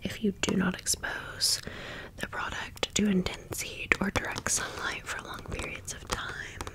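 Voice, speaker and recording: Female ASMR host, gentle and chatty, whispering throughout at a very unhurried pace. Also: medium pitch, monotone, low-quality recording. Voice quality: breathy unvoiced whisper. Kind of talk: whispered ASMR product show-and-tell.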